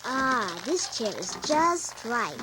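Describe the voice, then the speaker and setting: A woman's voice: fairly high pitch, big pitch swings, takes it slowly, noisy background, pitched above the performer's own voice. A woman voicing a curious, fussy little girl in a children's storybook. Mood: contented. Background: prominent music.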